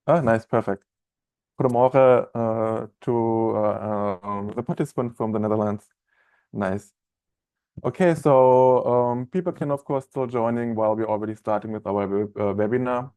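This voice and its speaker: German man with a dark voice. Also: lowish voice, moderate pace, clear, resonant tone, no room sound. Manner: friendly, chatty, many fillers and restarts. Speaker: webinar trainer. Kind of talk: live software training webinar. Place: office room, indoors.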